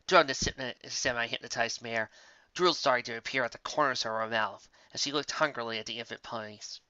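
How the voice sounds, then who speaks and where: A man's voice: mid-range voice, brisk, sharp tone, clear, resonant tone, no echo. A man reading a story aloud as scripted narration. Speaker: story reader. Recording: dull, muffled, very dry.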